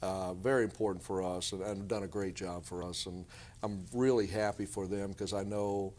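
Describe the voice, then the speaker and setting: Male basketball coach, lowish voice, halting measured answers in a conversational interview. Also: steady tone, a little mellow.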